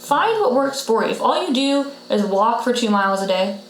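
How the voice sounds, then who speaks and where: A woman's voice: on the high side, very animated, normal speed. Emphatic woman giving blunt advice. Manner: emphatic, blunt, repeats key points. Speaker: podcast co-host, patient. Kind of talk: casual health advice chat. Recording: roomy echo with faint noise.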